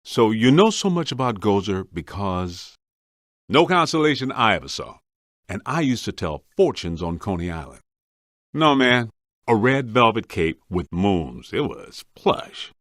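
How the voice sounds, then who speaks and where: A wry, streetwise ghost hunter with a very animated pitch that swings around, recorded dry and close. He grumbles and wisecracks like a working guy in a video game. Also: measured pace, dry recording. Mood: wry, questioning. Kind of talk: scripted game voice lines.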